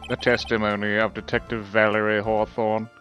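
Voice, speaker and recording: A man voicing a smug, formal young prosecutor, measured and declamatory, in a live game fan dub. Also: fairly low pitch, level tone, fairly bright tone, soft background music, close to an everyday voice. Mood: confident.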